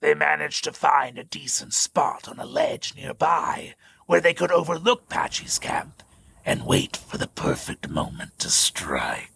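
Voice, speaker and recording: A man reading scripted story narration in a gravelly voice. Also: a little high, wildly swooping pitch, a little slow, bright, forceful tone, dry recording, in a whisper. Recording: music bed behind the voice.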